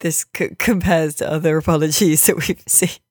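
A woman's voice: deep voice, steady tone, normal speed, darkish tone, no room sound. Wry woman podcaster, dry close recording. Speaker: podcast host. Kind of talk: casual chat.

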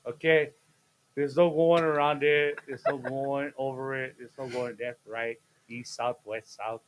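A man in his fifties, a guest chatting casually on a podcast, playful and jokey, with sudden loud bursts and laughs mid-sentence. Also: mid-range voice, fairly even intonation, unhurried, slight background noise, low-quality recording. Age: 50s. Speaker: guest, host's father.

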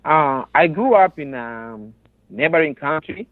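A man's voice: on the high side, lively intonation, a little slow, no echo. A man with a Congolese accent giving earnest personal testimony in an interview, emphatic and often repeating his phrases. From Democratic Republic of the Congo, raised in a refugee camp in Tanzania. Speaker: interview guest, resettled refugee. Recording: narrow, phone-like sound.

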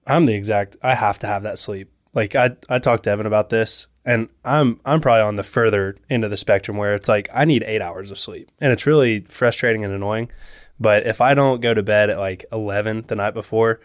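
A man's voice: on the low side, a little fast, dry recording, clean studio sound. A man hosting a casual podcast conversation, asking questions in a loose, conversational way. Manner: conversational, questioning, with many "like" fillers.

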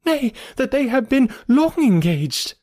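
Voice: high voice, very animated, takes it slowly, voice pushed up, heavily acted. A reader doing a trembling, anxious matron. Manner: hesitant, hushed, half-whispered. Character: anxious middle-aged woman, gentle and nervous. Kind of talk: audiobook character voice.

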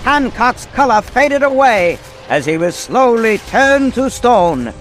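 A man's voice: very high-pitched, big pitch swings, slow, full character voice. A man playing a breathless, dramatic narrator of an old-time radio serial. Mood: suspenseful.

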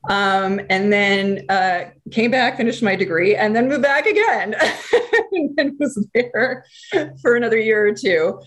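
A woman's voice: mid-range voice, animated, measured pace. A woman in her thirties, a former archaeologist turned screenwriter, telling her story in a chatty way with many fillers and restarts. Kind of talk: casual interview storytelling.

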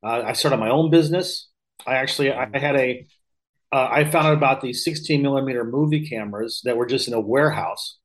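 An American man in his fifties speaks at a medium pitch as a podcast guest. He tells anecdotes in a casual, rambling way, often doubling back to correct himself. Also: fairly quick, low-quality recording. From Missouri, United States. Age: 50s. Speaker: podcast guest, real estate investor. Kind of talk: casual anecdotal interview talk.

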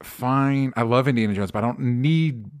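A man's voice: medium pitch, moderate pace. Casual man chatting about movies. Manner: informal, profane, repeats phrases. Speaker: co-host of a movie news show.

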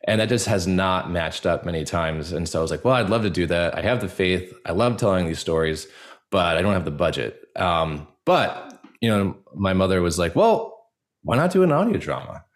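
A man's voice: sits low, animated, moderate pace. A young man answering interview questions as a guest, talking in a casual, earnest, rambling way peppered with "like" and "you know". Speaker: podcast guest, actor and audio drama creator.

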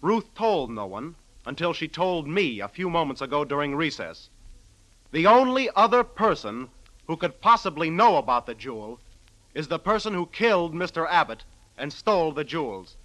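Animated, earnest American assistant prosecutor. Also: male voice, on the high side, rough recording. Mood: earnest, revealing. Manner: formal, deliberate testimony. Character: earnest male assistant district attorney giving evidence. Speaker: actor in a radio play. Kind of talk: old-time radio drama acting.

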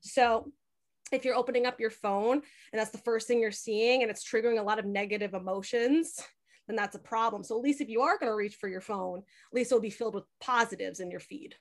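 A woman's voice: on the high side, fairly quick. A young woman chatting casually in a podcast interview, telling things in a talkative way with lots of "like" and acted-out quotes. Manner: chatty, animated storytelling with frequent "like" and quoted dialogue. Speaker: podcast host being interviewed.

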